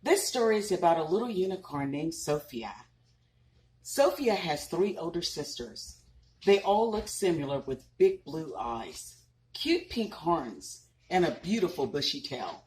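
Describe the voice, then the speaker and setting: A woman in her thirties reads a children's storybook aloud in a lower voice that rises and falls a great deal. She takes her time, breaking off to ask the listeners questions. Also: unhurried, slight background noise, rough recording. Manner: expressive, asks listeners questions. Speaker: parent reading to children. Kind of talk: reading a picture book aloud with asides.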